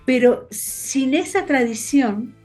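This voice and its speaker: A woman's voice: high-pitched, lively intonation, takes it slowly, a little mellow, soft background music. A woman from Argentina with an Argentine accent, hosting an interview over a video call and talking casually with fillers. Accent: Argentine Spanish. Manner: conversational, fillers like "este" and "digamos". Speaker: interviewer and host. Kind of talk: casual conversation.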